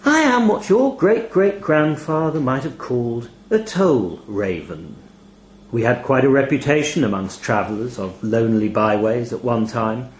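An ancient raven demon played by a man speaks with the weary pomp of a retiring bishop. The delivery swoops widely in pitch, wistful and clerical, in a roomy, echoing storybook reading. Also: very animated, measured pace. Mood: nostalgic, pompous. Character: ancient male talking toll raven, pompous, clerical, wistful bird demon. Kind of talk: audio short story character dialogue.

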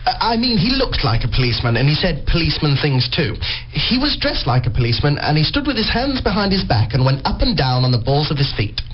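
A man's voice: fairly high pitch, very animated, fast. An actor playing an earnest, hesitant, muddled male amateur sleuth in a radio play. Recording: muffled recording with faint noise.

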